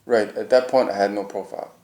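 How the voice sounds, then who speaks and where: A man's voice: on the low side, steady tone, fast, warm tone. A man in casual conversation, insistent and argumentative. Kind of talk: casual chat. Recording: echoey room.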